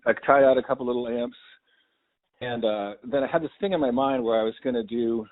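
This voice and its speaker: A man's voice: mid-range voice, fairly quick. A man in his fifties, a musician in casual podcast chat, rambling and candid and swearing freely. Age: 50s. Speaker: guest musician. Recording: tinny phone-like sound.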